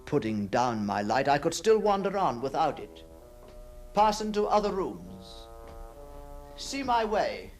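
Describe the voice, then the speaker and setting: A man's voice: a little high, big pitch swings, unhurried, pauses often, faint music behind. An actor playing an obsessed, brooding man who stalks apparitions, telling his ghost story in a theatrical, intense monologue. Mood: proud, absorbed. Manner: theatrical, hushed passages swelling into fervent declamation. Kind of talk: dramatic first-person ghost story monologue.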